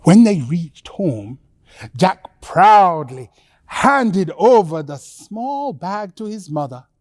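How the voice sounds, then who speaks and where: A woman telling a children's bedtime story in a theatrical, deliberate way with dramatic pauses. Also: lowish voice, sing-song, darkish tone, dry recording, studio quality.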